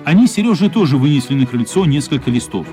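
A man's voice: medium pitch, expressive, fast talker. A man reading scripted storytelling narration for a radio play. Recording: music playing behind the narration.

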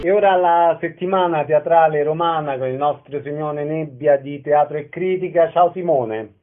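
A man's voice: a little high, moderate pace, narrow, tinny audio, soft background music. A man hosting a radio programme, putting questions and making announcements in an orderly, presenterly way. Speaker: radio host.

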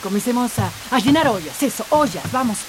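A bossy, energetic woman, voiced by an actress who directs everyone around in a radio drama. She speaks with a fairly high voice, and clear rain noise sits behind her. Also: brisk, natural voice. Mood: eager. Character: energetic woman organizing water harvest.

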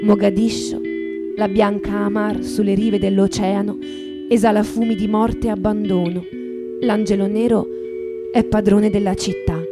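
A woman reading a literary novel for radio in a measured, expressive, dramatic style. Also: medium pitch. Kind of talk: dramatic literary narration with music. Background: plucked guitar music.

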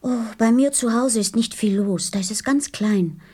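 A curious little boy prince in a radio play. The voice is slightly high with a husky grain. The delivery is earnest, childlike and persistently questioning. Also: normal speed, dark tone.

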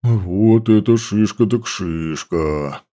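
A man voicing a grinning young male companion who jokes in a slow, lower voice. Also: dark tone. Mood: teasing.

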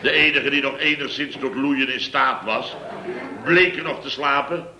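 An actor playing a blustering middle-aged building-firm boss in a 1960s radio comedy, telling stories with big theatrical swings. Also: male voice, mid-range voice, normal speed, bright, forceful tone. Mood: boisterous. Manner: boisterous storytelling, exaggerated swings. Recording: echoey old radio studio recording.

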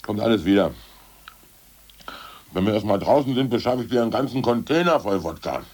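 An actor playing a gruff jailed ex-party boss in a German radio play, cynical yet secretly sentimental, with a gravelly, husky delivery. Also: male voice, lowish voice, animated, a little fast, darkish tone. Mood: confidently boastful.

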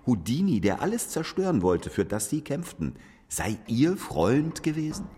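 An actor playing a hard, relentless interrogator in a German radio drama, speaking with sardonic, accusing insistence. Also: male voice, medium pitch, sing-song, normal speed, mellow, a little hiss or hum, natural voice. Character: hard, relentless male interrogator confronting an aged knighted author. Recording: long reverb with music behind.

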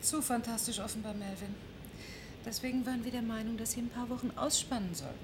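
An actress playing a brisk, blunt female scientist in a German radio play, with a dry, matter-of-fact manner. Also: fairly high pitch, background noise, lightly acted. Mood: firm, brisk. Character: brisk, blunt female scientist and team leader, worried mother.

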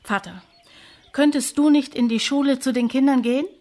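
An actress playing an exasperated, bitter grown daughter of an old scholar, complaining pointedly in a radio play. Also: female voice, high voice, normal speed, mellow, lightly acted. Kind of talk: German radio play acting.

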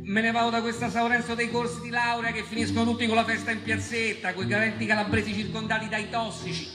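A man's voice: sits high, flat delivery, quick pace. Male stage performer, Roman accent, comic spoken word, declamatory and insistent, reverberant hall, music. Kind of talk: comic monologue and spoken-word reading before an audience. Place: performance space with an audience. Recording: echoey hall, music behind.

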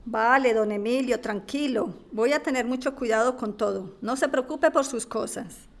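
Polite, high-voiced woman cleaner, acted. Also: normal speed, lightly acted. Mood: polite, placating. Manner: deferential but firm. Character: polite, patient, self-respecting woman cleaner. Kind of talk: acted audio drama dialogue.

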